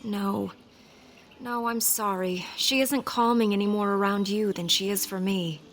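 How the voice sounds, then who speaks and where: Woman to play devoted elven beast-keeper: gentle, earnest, measured formal speech, mid-range voice, fantasy game dialogue, faint background noise. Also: mid-range voice, fairly even intonation, natural voice. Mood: anxious, hopeful.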